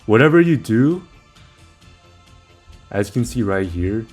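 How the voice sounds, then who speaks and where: A young man with a somewhat low voice talks through a video game in a loose, informal way. He explains each step as he plays, wandering a bit as he goes. Faint music sits behind his commentary. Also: sing-song, unhurried, a little mellow. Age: young adult. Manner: informal, rambling, talks through steps as playing. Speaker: video maker giving game guide. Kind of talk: casual game walkthrough commentary.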